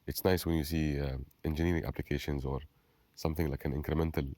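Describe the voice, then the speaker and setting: A man's voice: a bass voice, steady tone, fast talker, dark tone. A man hosting a casual campus interview, encouraging his guest and echoing his words back. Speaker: host.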